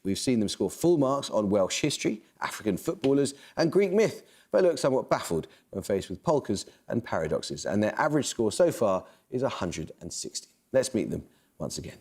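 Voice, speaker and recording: A man presents a university quiz from a television studio, crisp and measured in delivery. His voice sits at an ordinary pitch but swings lively up and down as he reads questions and team summaries. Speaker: quiz show host.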